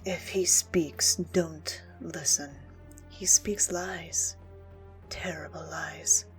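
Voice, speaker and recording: Woman as a slow, low-voiced stern commander. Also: steady tone, a bit bright, soft background music, heavily acted. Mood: troubled, hushed. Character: stern, troubled female military commander, guarded and resolute.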